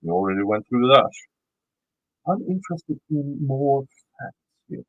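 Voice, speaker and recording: A man's voice: mid-range voice, expressive, measured pace, phone-quality sound. A man with a Swedish accent who is not a native English speaker, reading a court filing aloud, stumbling and restarting words and throwing in blunt, cursing asides. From Sweden. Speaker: YouTube commentator.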